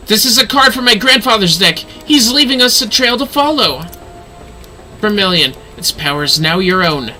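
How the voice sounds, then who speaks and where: A man voicing an earnest, stern young astrologian mentor, reading fantasy game dialogue aloud in a formal, measured, instructive way. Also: sits high, expressive, pushed and bright, faint music behind, voice pushed up, heavily acted. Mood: urgent. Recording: faint background noise.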